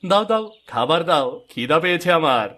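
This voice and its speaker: A man playing an eager, superstitious and lazy young farmer in a cartoon moral tale, with an excitable, insistent, wheedling way of talking. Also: on the high side, a little fast. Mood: excited, carefree.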